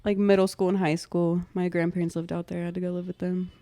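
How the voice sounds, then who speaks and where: A woman's voice: fairly low pitch, level tone, fast, dark tone. A woman from Austin co-hosting a podcast, chatting casually and quizzing her guest playfully. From Austin, Texas. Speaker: podcast co-host. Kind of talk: casual chat.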